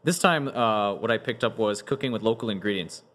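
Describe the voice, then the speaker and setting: A man talking casually as a podcast guest, animated, telling stories and making analogies. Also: fairly low pitch, brisk. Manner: animated storyteller, uses analogies.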